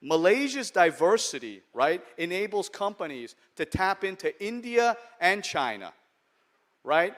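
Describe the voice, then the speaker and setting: A man's voice: high voice, big pitch swings, unhurried. A middle-aged man with an American accent from New York, giving a conversational conference keynote that keeps checking in with the audience. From United States. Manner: conversational, humorous, checks in with "okay?". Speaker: venture capital investor and keynote speaker. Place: large conference hall.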